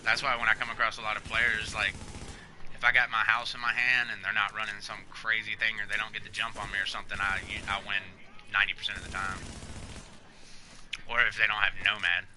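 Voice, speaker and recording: A man's voice: fairly low pitch, flat delivery, a little fast, pushed and bright, slight background noise. A man in his thirties casually explaining a game while playing live, loose and chatty, swearing now and then. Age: 30s. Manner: loose, chatty, swears freely and laughs at himself. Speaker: game streamer. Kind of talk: casual live gameplay commentary.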